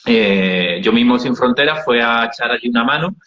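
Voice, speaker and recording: A middle-aged man with an Andalusian Spanish accent, telling travel anecdotes in an unhurried interview style, with frequent hesitations. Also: mid-range voice, expressive, sharp tone. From Andalusia, Spain. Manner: unhurried storytelling with many hesitations. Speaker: interviewee, founder of an aid project. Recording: dull, roomy recording.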